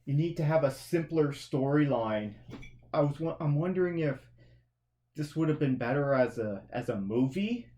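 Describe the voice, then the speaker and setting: A man's voice: on the high side, animated, normal speed, dark tone. Chatty man reviewing movies, rambling. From Canada. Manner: rambling, self-correcting, chatty with frequent restarts. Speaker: podcast co-host reviewing movies and TV. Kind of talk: casual review chat.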